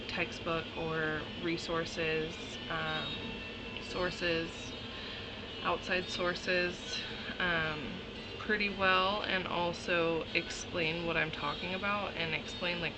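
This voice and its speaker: A young woman and college student recording a class discussion post, hesitant and pausing often with ums. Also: sits low, rough recording.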